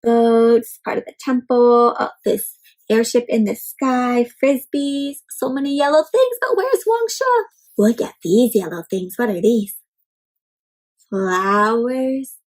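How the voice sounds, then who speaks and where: A woman reading a picture book aloud to young children, expressive and full of exclamations and questions to her listeners. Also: on the high side, takes it slowly. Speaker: children's read-aloud storyteller.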